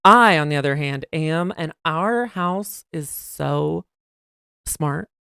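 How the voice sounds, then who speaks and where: A man co-hosting a casual podcast chat, talking in a soft, breathy voice that listeners call soothing, telling stories animatedly. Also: on the high side, takes it slowly, no echo, clean studio sound. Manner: chatty, animated storyteller. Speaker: podcast co-host.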